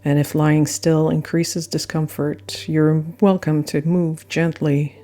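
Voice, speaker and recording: A woman guiding a yoga nidra meditation with gentle, unhurried phrasing and frequent pauses. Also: sits low, little pitch movement. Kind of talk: guided meditation narration. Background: soft meditation music.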